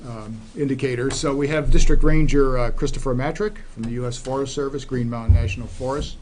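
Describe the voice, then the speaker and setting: A man runs a town board meeting in a relaxed, procedural manner, calling votes and introducing a guest with a few fillers. His pitch sits mid-range, and faint room noise hangs under his voice. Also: a little fast. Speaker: meeting chair. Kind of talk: chairing a public meeting.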